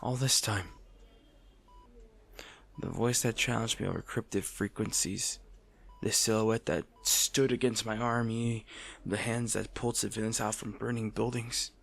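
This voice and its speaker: A man playing an anguished, possessive villain in an intimate audio roleplay monologue, halting and brooding, his gravelly voice often slipping toward a whisper. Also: lowish voice, steady tone, a bit bright, full character voice. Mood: accusing, intense. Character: anguished, possessive male villain at rival hero's bedside.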